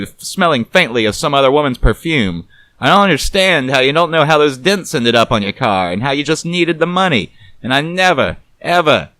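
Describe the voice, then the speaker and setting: A man's voice: medium pitch, a bit bright, no echo, voice pushed up, natural voice. Noir ex-cop captain, played by a male narrator: glib, sardonic, brash, voice lifted well above narration. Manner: glib, sarcastic, rambling wisecracks. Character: brash, sardonic cigarette-chewing ex-cop turned boat captain. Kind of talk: noir detective audio story.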